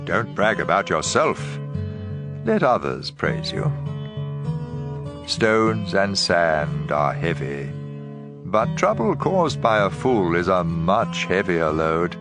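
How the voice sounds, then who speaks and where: Deep-voiced man reading scripture, slow and expressive. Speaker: audio Bible reader. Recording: guitar music bed. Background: plucked guitar music.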